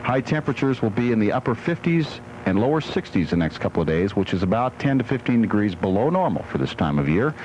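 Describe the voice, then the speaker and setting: Animated low-voiced man giving the weather. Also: quick pace, hardly any pauses. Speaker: radio weather forecaster. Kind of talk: chatty weather report with banter. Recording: dull AM radio aircheck, faint noise.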